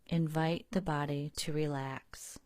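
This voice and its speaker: Female meditation guide, low-pitched and soothing, very slow delivery, dry close sound. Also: no echo. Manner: calm, unhurried, soothing, with long pauses. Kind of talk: guided relaxation narration.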